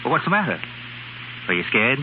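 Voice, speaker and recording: A man's voice: mid-range voice, very animated, normal speed, close to an everyday voice. An actor playing a wry, caring male suitor in a 1930s radio drama. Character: Gil, wry, caring suitor in love with Anne. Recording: very noisy, muffled 1930s radio recording.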